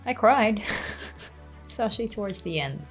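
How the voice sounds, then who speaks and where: A woman's voice: medium pitch, lively intonation, a little slow, soft background music, poor audio. A woman from the Philippines, chatting casually and giggling between her words. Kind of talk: casual chat.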